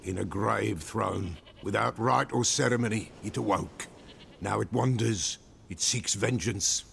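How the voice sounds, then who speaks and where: A man's voice: on the low side, measured pace, a bit bright, a little hiss or hum, full character voice. An actor playing an old male village soothsayer, cryptic and superstitious, who speaks in archaic, folksy sayings in a video game cutscene. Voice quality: gravelly.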